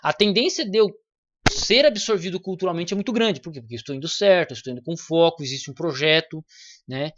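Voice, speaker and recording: A man's voice: fairly high pitch, fast talker, dull audio, background noise. A Brazilian man with a Brazilian Portuguese accent, hosting a casual opinion monologue in a blunt, slangy manner with free swearing. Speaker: channel host.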